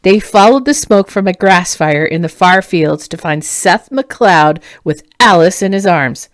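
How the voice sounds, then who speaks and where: A woman's voice: on the low side, animated, a little slow, dry recording, clean studio sound. Woman as an urgent middle-aged farmer with news. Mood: grave, excited. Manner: breathless, urgent, solemn.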